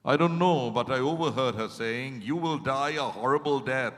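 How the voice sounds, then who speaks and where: An actor in a dramatized stage reading, playing a reflective, regretful male patient who speaks in a theatrical, halting way and corrects himself. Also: medium pitch, natural voice. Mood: candid reminiscing. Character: reflective, regretful male therapy patient reminiscing about life. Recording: echoing hall, stage reading.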